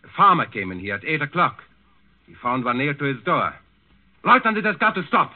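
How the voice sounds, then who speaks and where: A curt, swinging-voiced Nazi governor. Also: male voice, a little high, big pitch swings, brisk. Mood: curt, irritated. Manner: curt, authoritative, impatient. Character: commanding, suspicious male Nazi regional governor. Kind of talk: 1940s radio acting. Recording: tinny old 1940s radio recording.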